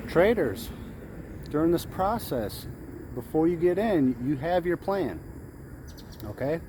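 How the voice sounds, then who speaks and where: A man's voice: on the high side, warm tone, a little hiss or hum. A man giving an informal, off-the-cuff motivational talk as a trading coach, repeating phrases for emphasis. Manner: acts out traders' thoughts, repeats phrases for emphasis. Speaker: day trader giving trading tips. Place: outdoors in the heat.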